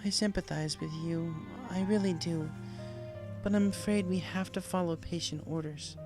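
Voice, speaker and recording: A man reads as a female pony nurse in a storybook. The voice sits higher, soft in manner and professional. It is sympathetic yet unbending, over faint music. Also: fairly even intonation, normal speed. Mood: sympathetic, firm. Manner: gentle, professional, apologetic. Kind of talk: storybook character voice.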